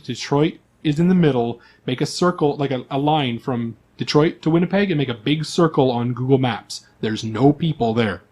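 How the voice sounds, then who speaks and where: A man's voice: mid-range voice, darkish tone. A man speaking as game master, explaining the game's setting to his players in an informal, conversational way.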